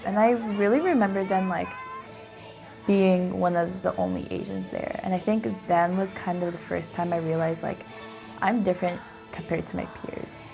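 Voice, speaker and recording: A young woman whose first language is Japanese, softly and hesitantly telling her own story as a documentary interviewee. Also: lowish voice, fairly even intonation, normal speed, vocal fry. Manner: soft-spoken, hesitant, frequent "like". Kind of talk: reflective personal account. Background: music.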